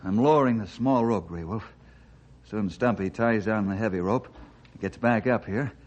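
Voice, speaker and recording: A man's voice: on the low side, normal speed, darkish tone, lightly acted. A man playing an earnest, commanding ranger leader in an old-time radio adventure. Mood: focused. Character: decisive, earnest ranger leader, hero type. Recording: dull, hissy vintage radio recording.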